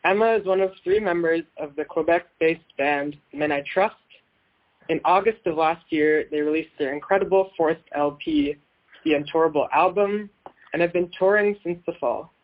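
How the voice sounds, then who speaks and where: A man's voice: fairly high pitch, normal speed, phone-quality sound. A man hosting an interview, introducing his guest and asking friendly, prepared questions. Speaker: interviewer host. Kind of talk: podcast interview.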